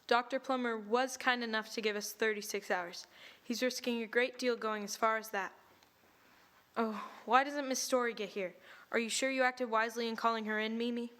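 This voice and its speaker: A woman's voice: a little high, fairly even intonation, moderate pace, a bit bright, natural voice. A woman playing a fretful, formal matron who runs the household, in an audio drama. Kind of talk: radio drama acting.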